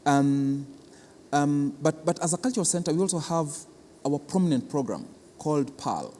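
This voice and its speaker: Mellow Kenyan man in a reverberant hall. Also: fairly high pitch, expressive, moderate pace, slight background noise. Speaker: cultural centre official being interviewed. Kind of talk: explanatory interview answers.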